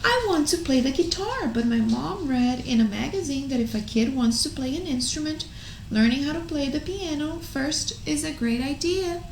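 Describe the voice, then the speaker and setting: A woman voicing a grumpy, whiny young schoolboy from a storybook, with exaggerated, drawn-out complaints. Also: high-pitched, animated. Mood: sulky complaint. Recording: echoey room, faint noise.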